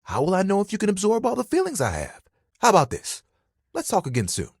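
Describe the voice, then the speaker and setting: Animated, easygoing but insecure game buddy. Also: male voice, sits high, quick pace, slightly rough, dry recording, studio quality, exaggerated voice. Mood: warm, earnest. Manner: casual, earnest, hesitant, theatrically expressive. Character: living towel, easygoing, sensitive, insecure young male buddy. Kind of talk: video game character voice acting.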